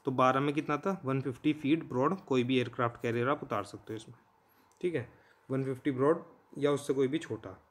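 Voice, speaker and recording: An Indian man teaching in an informal, conversational way, explaining step by step and often checking in with listeners. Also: mid-range voice. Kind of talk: informal explanatory lesson in Hindi mixed with English terms.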